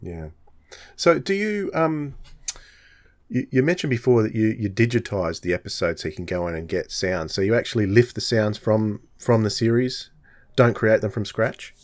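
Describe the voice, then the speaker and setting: A man's voice: on the low side, normal speed. A man hosting a podcast, talking in a casual interview style. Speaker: podcast host.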